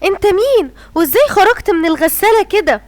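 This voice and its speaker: A woman voicing a bossy, excitable mother lioness in a cartoon, with an Egyptian Arabic accent. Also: very high voice, fast talker. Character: fussy mother lioness, the king's wife.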